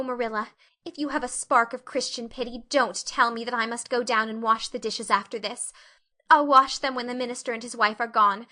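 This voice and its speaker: A woman voicing an imaginative young orphan girl who talks in a high, breathless, dramatic way. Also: bright, forceful tone.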